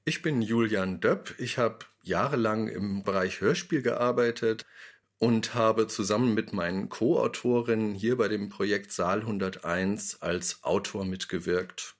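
Male author, thoughtful interview. Slightly low pitch, plain explanatory delivery. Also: unhurried.

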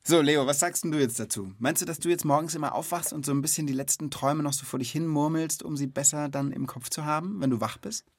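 A man's voice: on the high side, fast talker, few pauses, husky. A man hosting a children's podcast, asking questions in an informal, chatty, conversational way. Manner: informal, chatty, reacts with short interjections.

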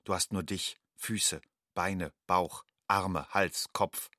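A man's voice: low voice, steady tone, takes it slowly, sharp tone, no room sound, clean studio sound, exaggerated voice. An actor playing a wary, rootless migrant man, delivering a matter-of-fact audio drama monologue in clipped sentences. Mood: wary, stark.

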